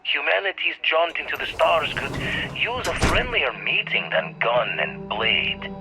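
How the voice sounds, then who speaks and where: A man's voice: on the high side, sing-song, measured pace, over music, low-quality recording. An actor playing an older retired space pirate in a video game, telling his past in colourful, wistful old-salt phrases. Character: grizzled retired space pirate, reflective, old, turned explorer. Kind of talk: video game character monologue.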